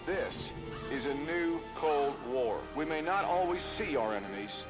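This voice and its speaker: An actor playing a grave speaker warning of global crisis in a video game intro, measured and portentous. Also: male voice, fairly high pitch, lively intonation. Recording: very loud music over voice.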